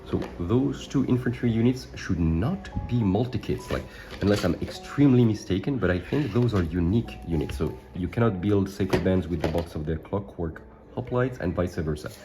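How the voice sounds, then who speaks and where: A low-pitched man hosts a casual miniatures unboxing, sounding slightly sick and not fully clear. He talks things through loosely, fixing his words as he goes. Music plays clearly underneath his voice. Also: expressive, moderate pace. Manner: chatty, thinks aloud with small self-corrections. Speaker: wargaming channel host. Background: background music.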